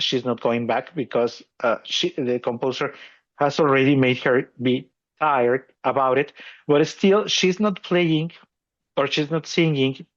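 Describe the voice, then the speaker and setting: A man's voice: medium pitch, dull audio. A man hosting a podcast, explaining opera singing technique, chuckling often and restarting his phrases. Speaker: podcast host.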